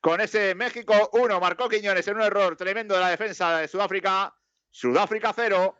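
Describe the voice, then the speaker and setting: A man's voice: high-pitched, brisk, sharp tone, no room sound, dull audio. A man giving casual live football commentary, chatty and rambling, laughing often.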